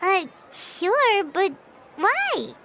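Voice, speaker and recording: A woman's voice: extremely high pitch, big pitch swings, drawn-out words, background noise, exaggerated voice. A woman voicing a tiny, childlike female fairy companion in a video game, chirpy and inquisitive. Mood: surprised. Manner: refers to self in third person, inquisitive.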